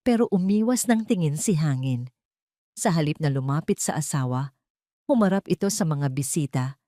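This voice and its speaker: A woman's voice: low-pitched, warm tone, dry recording. A woman with a Filipino accent narrating a scripted story in an emotive, theatrical way. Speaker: storyteller narrating an audio drama.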